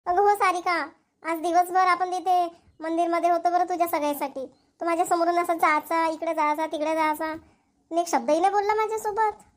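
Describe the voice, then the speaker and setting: Very high-pitched, chatty young woman acted by a woman. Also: fairly even intonation, fairly quick. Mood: puzzled, complaining. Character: chatty, excitable young Marathi women, two close sisters. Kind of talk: Marathi cartoon comedy dialogue.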